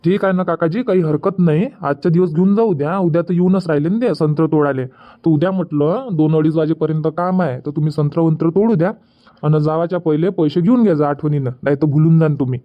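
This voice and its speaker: A man's voice: a little high, fast, lightly acted. A man playing plain-spoken village orange farmers in a cartoon comedy, chatting colloquially with a Varhadi Marathi accent from Vidarbha. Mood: earnest. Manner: colloquial, repetitive rustic chatter. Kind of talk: dubbed cartoon comedy dialogue. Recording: dull lo-fi audio.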